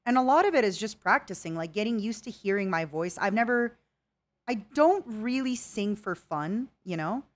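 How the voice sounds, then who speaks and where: A woman's voice: mid-range voice, animated, moderate pace. A young woman streaming a casual chat with viewers, rambling and reading out chat messages. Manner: chatty, rambling, reads chat aloud.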